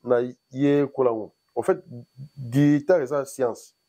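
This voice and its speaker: A man from Congo talks as the guest in a relaxed interview. His voice is husky and warm. He rambles loosely and mixes French with Lingala as he goes. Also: medium pitch, takes it slowly. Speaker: guest musician. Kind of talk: casual interview chat. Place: in Paris.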